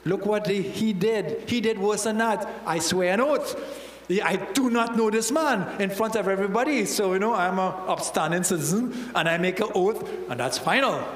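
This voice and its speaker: A man in his fifties with a Trinidadian accent, preaching a sermon into a handheld mic and acting out Bible characters with local slang. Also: high voice. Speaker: church elder preaching. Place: church hall with stage. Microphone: handheld microphone. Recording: roomy church hall reverb.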